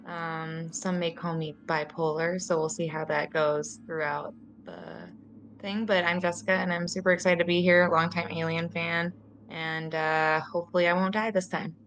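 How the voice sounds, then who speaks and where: Female tabletop player, informal chatty introduction, lower pitch, faint background noise. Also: level tone, moderate pace, a bit bright. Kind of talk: casual chat introducing herself.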